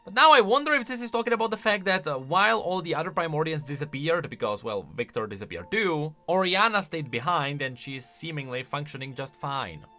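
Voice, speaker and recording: A man giving casual, expressive commentary as a video host, thinking aloud as he goes. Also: on the high side, brisk, hardly any pauses.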